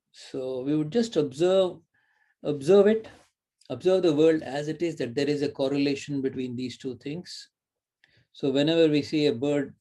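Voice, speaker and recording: A man's voice: medium pitch, warm tone. A middle-aged man giving a recorded university lecture, thinking aloud with fillers and frequent "right?" tags.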